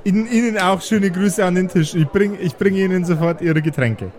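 Man playing a high-pitched, eager, stammering waiter. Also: moderate pace, darkish tone, natural voice. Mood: obliging. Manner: stammering, over-polite, punning. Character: chatty, pun-crazed male restaurant waiter, eager to please. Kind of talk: improvised pen and paper audio play.

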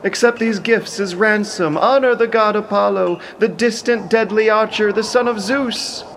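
High-voiced, imploring old priest. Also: male voice, normal speed, a bit bright, a little hiss or hum. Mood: pleading, reverent. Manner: imploring, declamatory, formal. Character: frightened, pleading old priest begging a king and god. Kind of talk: epic audio drama acting.

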